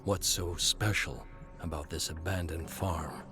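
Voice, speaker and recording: Narrator as male field agent, low voice, dry, sceptical, audio story, music behind. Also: unhurried.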